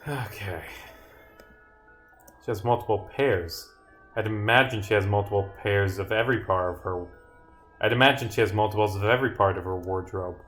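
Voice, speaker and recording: A man's voice: fairly low pitch, moderate pace, pauses often, a bit bright, faint music behind. A man chatting casually on a livestream as a game developer, thinking aloud off the cuff as he works. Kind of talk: casual livestream chat while working.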